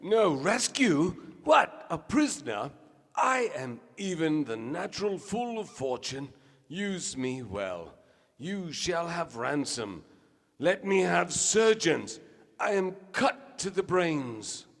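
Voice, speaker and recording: An actor playing a vain, tipsy Shakespearean stage star with grandiose theatrical delivery in a radio play. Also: male voice, a little high, sing-song, takes it slowly, a bit bright, long reverb tail, full character voice. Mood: grand, mad declaiming.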